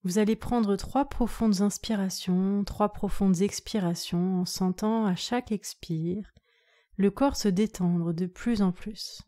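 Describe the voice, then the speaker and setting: A woman yoga nidra instructor leading a guided relaxation meditation in a calm, soothing, measured way. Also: mid-range voice.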